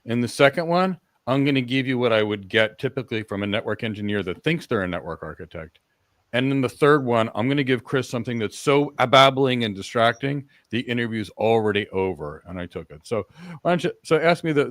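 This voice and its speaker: A man's voice: medium pitch, lively intonation, a little fast, fairly bright tone, dry recording. Man talking with a dry close sound. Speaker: host. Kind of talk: live-stream career talk with mock interview role-play.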